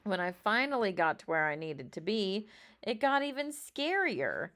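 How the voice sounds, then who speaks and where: A woman reading a children's story aloud expressively, with dramatic drawn-out emphasis. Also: medium pitch, very animated, fairly bright tone. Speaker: storybook reader.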